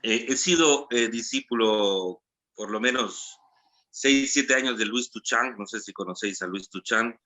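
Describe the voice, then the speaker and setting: A talkative Guatemalan man with a Guatemalan Spanish accent, a panel guest who speaks fast and jokes about himself. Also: medium pitch, bright, forceful tone. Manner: talkative, quick, self-deprecating. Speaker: panel guest, theater actor. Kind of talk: online panel discussion.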